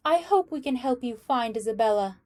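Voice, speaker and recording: A woman acts out a sociable, gracious lady who runs a charity home. Her voice is high and soft-toned, moving animatedly through polite, effusive phrases. It is radio drama with music underneath. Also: lively intonation, brisk, close to an everyday voice.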